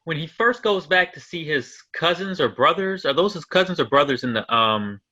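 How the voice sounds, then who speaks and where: A man's voice: fairly high pitch, animated, moderate pace, sharp tone. A man co-hosting a casual movie review chat, easygoing and asking the group questions. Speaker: podcast co-host.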